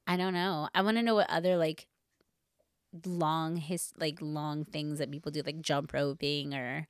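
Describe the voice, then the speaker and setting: Casual, slangy woman podcaster, slightly low voice. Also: steady tone, a little slow, clean tone, dry recording, studio quality. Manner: informal, slangy, heavy use of "like". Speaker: podcast co-host telling a story. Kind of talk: casual conversational podcast chat.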